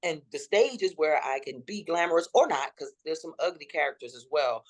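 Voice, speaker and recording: A woman in her fifties with a deep, rolling voice, telling her story dramatically as an interview guest. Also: fairly quick. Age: 50s. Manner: dramatic and expressive.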